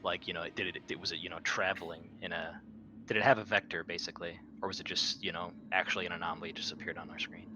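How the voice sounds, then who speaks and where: Low voiced guy playing an rpg, casual, kinda forceful tone, slight background noise. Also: flat delivery, quick pace. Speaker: player in a tabletop game. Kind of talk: casual in-character game chat.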